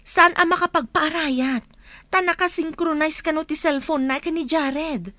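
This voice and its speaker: A woman's voice: high-pitched, steady tone, measured pace, pushed and bright, no echo. A woman playing an earnest, secretive household helper in a radio drama, urgent and conspiratorial. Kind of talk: Ilocano radio drama acting.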